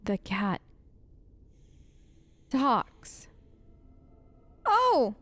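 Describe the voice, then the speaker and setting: High, lively, sarcastic woman gamer. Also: extremely slow, no room sound. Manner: sassy, conversational, sarcastic. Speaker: gaming video host. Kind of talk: improvised role-play commentary over a video game.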